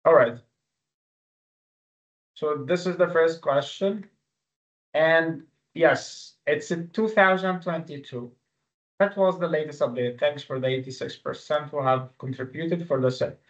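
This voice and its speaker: A man whose native language is Arabic, teaching an online exam-review webinar with live quiz questions in an informal, halting way. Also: a little high. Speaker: exam-prep instructor. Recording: dull, lo-fi webinar audio.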